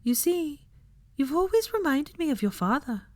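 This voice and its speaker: A woman voicing a gentle, dreamy young woman with a soft, wistful way of speaking in a dramatic story reading. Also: high voice, big pitch swings, normal speed, dry recording, studio quality, voice pushed up. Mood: sad, apologetic.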